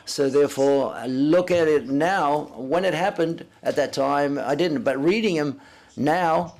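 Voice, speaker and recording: A man interpreting live at a press conference, speaking haltingly and often correcting himself as he renders others' words in first person. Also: a little high, a little mellow.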